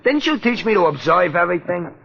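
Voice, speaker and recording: A man's voice: high-pitched, sing-song, fast, vocal fry, fairly bright tone, heavily acted. A man playing a folksy, wisecracking police sidekick with colloquial, mock-philosophical chatter in 1940s radio detective style. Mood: comic, chatty. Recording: muffled, hissy 1940s radio.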